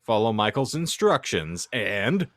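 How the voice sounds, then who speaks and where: Man, podcast host, low pitch, very lively intonation, florid wordy phrasing, theatrical intros. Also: measured pace, dry recording, studio quality. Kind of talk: theatrical show introduction with casual banter.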